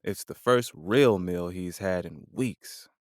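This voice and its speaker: A man's voice: low-pitched, slow, dry recording, studio quality. A man reading scripted story narration for an audio drama.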